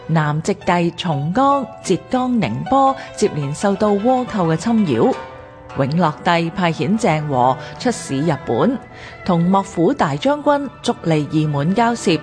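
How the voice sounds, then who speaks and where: A woman with a Hong Kong Cantonese accent reads a scripted history documentary in a formal, measured way. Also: low voice, a little mellow. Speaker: narrator of a radio history programme.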